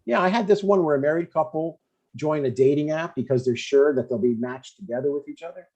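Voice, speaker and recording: A man playing an earnest, husky older widowed dad. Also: on the high side, brisk, darkish tone. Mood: mild, reflective. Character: older widowed father, retired deacon, earnest and blunt. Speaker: actor in a table read. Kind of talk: scripted dialogue, comedy table read. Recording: dull, lo-fi sound.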